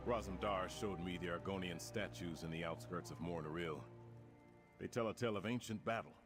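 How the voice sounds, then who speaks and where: A man voicing a confident, commanding warrior prince in a fantasy video game, speaking formally and rousingly. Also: low voice. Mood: resolute. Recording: prominent music behind the voice. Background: game music.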